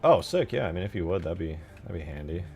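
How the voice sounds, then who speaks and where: Deep-voiced, animated man. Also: quick pace, vocal fry, soft background music. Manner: informal, slangy, swears casually; thinks aloud in fragments. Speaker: game modder streaming their work. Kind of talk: casual livestream chat while working.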